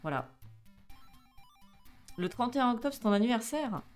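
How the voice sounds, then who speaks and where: A woman's voice: fairly high pitch, expressive, moderate pace, soft background music. A woman in her twenties chatting casually on a live stream, with many fillers, reading chat aloud and laughing often. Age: 20s.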